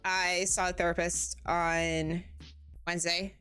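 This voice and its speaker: A woman's voice: lowish voice, level tone, slow pace, sharp tone, smooth, soft background music. A woman streaming a game, chatting casually with her viewers in a bubbly, giggly, self-deprecating way, sounding tired.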